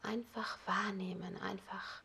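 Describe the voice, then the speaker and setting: Slow whispering woman guiding relaxation. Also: mid-range voice, vocal fry, slightly rough. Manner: soft whispered, slow and calm. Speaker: yoga nidra teacher. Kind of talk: guided relaxation. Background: ocean waves.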